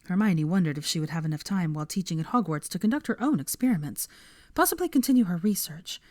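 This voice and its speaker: Lively woman narrator reading fiction aloud. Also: fairly low pitch, fast, no room sound. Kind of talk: scripted fiction narration, audiobook-style reading.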